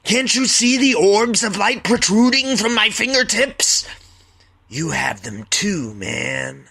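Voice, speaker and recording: A man acts out a spaced-out, eager mystic in a story reading. The voice jumps high and pushes forcefully, drawing out its insistent pleas. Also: sing-song, takes it slowly, creaky, slightly rough, no room sound, exaggerated voice. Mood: excited, insistent.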